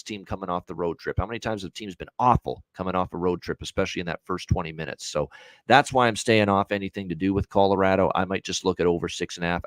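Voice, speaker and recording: A man's voice: sits low, no room sound. A man hosting a sports betting show, chatting casually and rambling through his picks.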